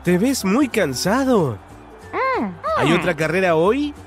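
A man's voice: sits high, sing-song, slow, music in the background. A man narrating a preschool cartoon, talking to the characters with encouraging exclamations and questions.

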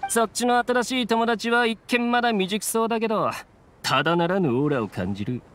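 A man's voice: high-pitched, lively intonation, brisk, a bit bright, slight background noise. A man playing a good-natured, chatty young male mercenary in an anime game, boyish and easily flustered. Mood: friendly. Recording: faint music behind the voice.

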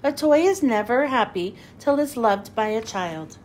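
A woman voicing a solemn, kindly lion king in a storybook, with a measured, instructive manner. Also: a little high, animated, darkish tone, a little hiss or hum, voice pushed down.

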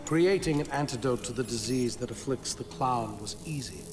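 A man's voice: mid-range voice, moderate pace, close to an everyday voice. A man voicing a cold, calculating villain scientist. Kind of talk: video game cutscene voice acting. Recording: game audio with music behind.

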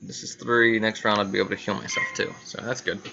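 A man's voice: fairly low pitch, level tone, quick pace, gravelly, muffled recording, noisy background. A man giving casual, playful gameplay commentary, thinking aloud in short bursts and exclamations. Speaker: gamer recording gameplay.

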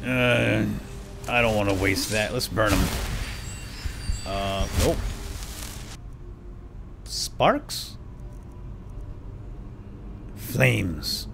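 A man's voice: on the low side, very slow, gravelly, long pauses, noisy background. A man giving playful, lightly role-played video game commentary, talking to himself and his in-game companions. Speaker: let's player. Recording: game sound effects and ambience behind. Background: video game cave ambience and combat.

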